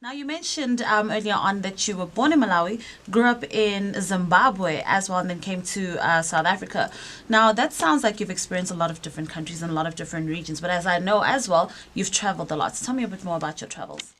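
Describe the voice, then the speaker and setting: A woman hosting a radio breakfast show, asking brisk, prompting questions. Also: fairly low pitch, a bit bright. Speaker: radio host. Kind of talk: radio interview. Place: radio studio.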